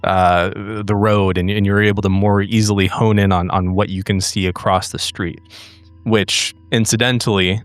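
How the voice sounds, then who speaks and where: Slow, smooth-voiced male game master. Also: on the low side, fairly bright tone, no echo. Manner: deliberate, broken phrases. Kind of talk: suspenseful narration in a tabletop horror game.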